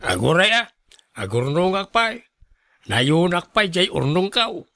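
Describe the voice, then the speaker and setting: A man playing a stubborn, wily old father who begs for money, in an animated radio drama style. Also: sits high, unhurried, no room sound, studio quality, heavily acted. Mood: stubborn, grumbling. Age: older. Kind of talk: Ilocano radio drama acting.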